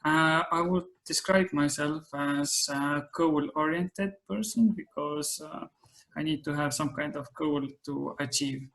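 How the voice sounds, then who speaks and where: A man in his twenties from Estonia introducing himself in a measured way. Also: on the high side, steady tone, fairly bright tone. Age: 20s. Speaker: meeting participant. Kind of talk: casual self-introduction. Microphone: video call.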